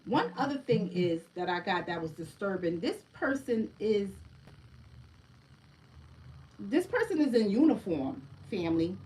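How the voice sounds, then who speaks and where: A woman's voice: mid-range voice, very animated, measured pace, long pauses, a little mellow, recorded from a distance, poor audio. A woman giving a casual livestream oracle card reading, chatty, often tagging her sentences with a question and addressing her listeners as family. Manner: chatty, keeps asking "right?" and calls listeners "family".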